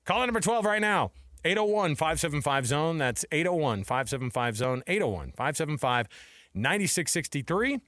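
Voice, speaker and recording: A man's voice: fairly high pitch, very animated, brisk, a bit bright, no room sound, studio quality. An American man co-hosting a sports radio show with casual, chatty, colloquial banter. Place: radio studio. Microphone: close studio microphone.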